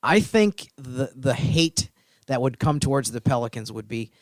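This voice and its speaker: A man's voice: medium pitch, lively intonation, moderate pace, no room sound. Chill guy co-hosting a sports podcast, mid voice, earnest, dry close mic. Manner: earnest, easygoing, says "you know" a lot. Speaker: team reporter, podcast co-host. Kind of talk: casual sports podcast chat.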